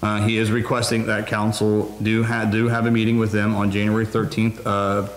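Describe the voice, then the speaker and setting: A man giving a quick, businesslike report to a city council, with many fillers. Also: on the low side, completely flat delivery, soft background music. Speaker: city administrator. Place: wood-paneled council room, indoors. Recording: roomy meeting hall with faint noise.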